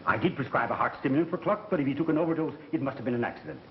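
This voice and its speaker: An actor playing a cautious, insinuating doctor in a 1930s mystery film, speaking in formal, measured phrases. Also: male voice, mid-range voice, animated, slight background noise, close to an everyday voice. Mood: evasive. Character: smooth, cautious, insinuating male doctor under suspicion. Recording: muffled 1930s film soundtrack with music.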